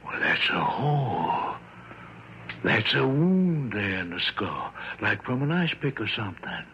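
An actor playing a folksy, easily startled small-town doctor in a 1960s radio drama, deferential and excitable. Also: male voice, fairly low pitch, unhurried. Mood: astonished. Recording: muffled old radio recording, faint noise.

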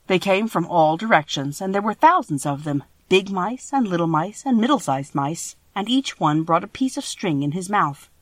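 A woman's voice: on the low side, lively intonation, moderate pace, hardly any pauses, no room sound. A woman reading a children's story as scripted audiobook narration.

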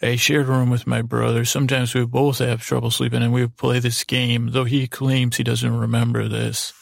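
A man hosting a bedtime podcast in a meandering, halting monologue, repeating himself and pausing as he goes. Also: mid-range voice, little pitch movement, creaky, no echo, studio quality. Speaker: podcast host. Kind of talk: rambling bedtime podcast monologue, meant to lull.

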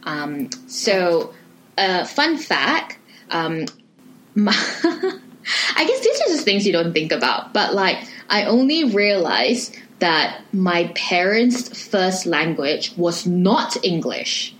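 A woman's voice: on the low side, very animated, slow, fairly bright tone. A young woman from Malaysia, chatting casually and explaining things at length. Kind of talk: casual conversation.

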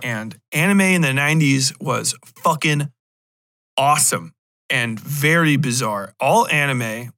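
A man's voice: mid-range voice, expressive, a little slow, bright, forceful tone. An American man in his thirties rambling casually on a podcast, slangy, profane and opinionated. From Cincinnati, USA. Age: 30s. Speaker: podcast host.

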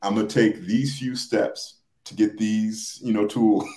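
A man in a casual podcast discussion who talks haltingly, searching for his words. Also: on the low side, steady tone, dark tone, roomy sound. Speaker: podcast speaker.

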